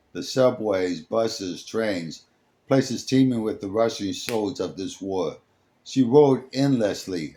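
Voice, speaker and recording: A man reading a dramatic scripted story as its narrator, in a halting way, sometimes stumbling over the words. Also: on the low side, darkish tone. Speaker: storyteller, host.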